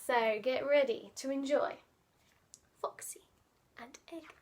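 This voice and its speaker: A woman reading a children's picture book aloud, dramatic and playful, often dropping into hushed asides. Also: high voice, slow pace. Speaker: children's storyteller.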